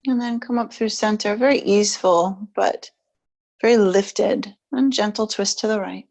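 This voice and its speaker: A woman instructor guides a relaxation and gentle stretching practice. Her voice sits at a middle pitch. She offers short, soothing instructions without hurry, leaving long silences between phrases. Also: moderate pace. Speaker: yoga nidra and stretch instructor.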